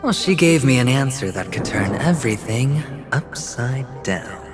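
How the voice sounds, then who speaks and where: A man's voice: mid-range voice, lively intonation, a little slow, lightly acted. An actor voicing a defiant, guarded young male gambler in a video game, with cool, dismissive retorts. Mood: cocky defiance. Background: music.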